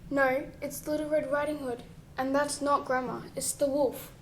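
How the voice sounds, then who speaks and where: Urgent little storybook pig, voiced by a female performer, very high, school radio play, slight noise. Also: steady tone, normal speed. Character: little pig, hushed and urgent, warning friends.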